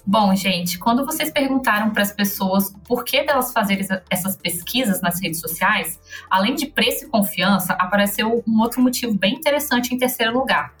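A woman's voice: medium pitch, normal speed, a bit bright. Brazilian woman host, regular mid voice, chatty discussion, bit of music behind her. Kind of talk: conversational discussion.

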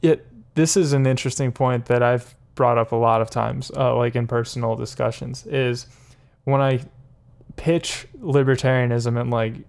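A man's voice: medium pitch, fairly even intonation, moderate pace, gravelly, a little mellow. A man co-hosting a casual podcast, rambling through his points with many fillers and frequent laughs. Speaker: podcast co-host. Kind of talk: casual political chat.

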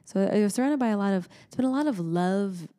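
A woman's voice: medium pitch, animated, fast talker, mellow. A woman in her thirties, co-hosting a podcast in casual chat, a chatty storyteller who laughs often. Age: 30s. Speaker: podcast co-host.

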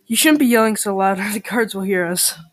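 A woman's voice: medium pitch, animated, brisk, no room sound, natural voice. A woman voicing a kind young farmer. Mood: calm. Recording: distant from the mic.

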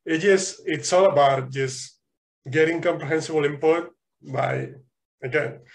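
A man from Spain, telling lively stories as a guest in a casual interview. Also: a little high, unhurried, vocal fry. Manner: animated storytelling, frequent restarts and laughs.

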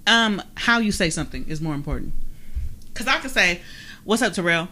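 A woman's voice: lowish voice, animated, fairly quick, bright, forceful tone. A woman hosting a podcast in casual chat, with a playful, exclamatory manner and frequent laughter. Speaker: podcast host.

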